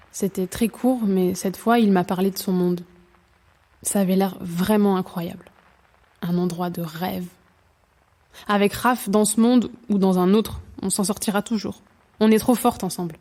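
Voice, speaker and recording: Mellow, anxious young woman runner played by an actress. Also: medium pitch, lightly acted. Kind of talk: audio drama, intimate diary monologue and dialogue.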